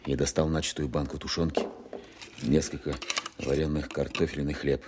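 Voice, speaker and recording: An actor playing an attentive, businesslike Soviet counterintelligence officer in an audio drama, measured and matter-of-fact. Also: male voice, rumbling low, flat delivery, noisy background. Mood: measured recounting. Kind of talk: audio drama narration and dialogue.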